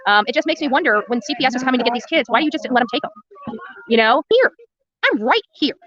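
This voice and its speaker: A woman's voice: sits high, very animated, fast, fairly bright tone, muffled recording. An American woman ranting to livestream viewers in a blunt, combative way, repeating phrases for emphasis. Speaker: livestream host and protest activist.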